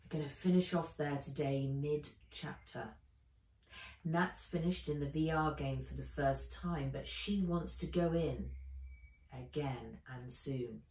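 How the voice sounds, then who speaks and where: A woman author reading her children's book aloud as an expressive storyteller, with deliberate dramatic emphasis. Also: low voice. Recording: roomy echo, distant mic.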